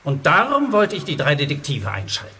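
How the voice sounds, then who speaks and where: An actor playing a worried film producer in a radio play, earnest and explanatory. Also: male voice, a little high, wildly swooping pitch, a little fast, sharp tone, a little hiss or hum, full character voice. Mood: explaining. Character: worried middle-aged male film producer.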